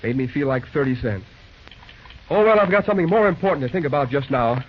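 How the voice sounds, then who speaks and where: A man's voice: medium pitch, lively intonation, fast talker, close to an everyday voice. An actor playing a brash, determined young American railroad man in a breezy, wisecracking old radio drama style. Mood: brisk, confident. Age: young adult. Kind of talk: 1940s radio acting. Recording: muffled vintage radio recording.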